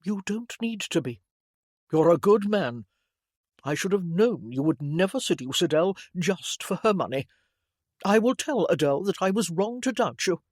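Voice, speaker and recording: A narrator voicing an earnest, apologetic young gentleman with a formal, emphatic way of speaking, in an audiobook story. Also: mid-range voice, big pitch swings, moderate pace, dry recording, studio quality. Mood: earnest, calmer.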